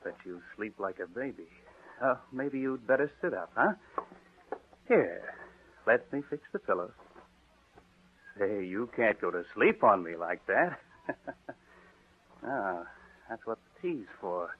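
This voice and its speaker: Falsely solicitous nephew, tinny radio. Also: male voice, lowish voice, animated, a little slow, pauses often. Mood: falsely cheerful. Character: scheming nephew feigning cheerful concern. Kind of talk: 1940s radio acting. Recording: tinny, noisy old radio recording.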